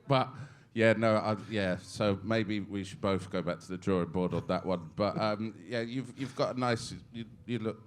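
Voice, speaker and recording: Man, comedy judge, low voice, rambling, laughing feedback. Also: normal speed, few pauses, clear, resonant tone.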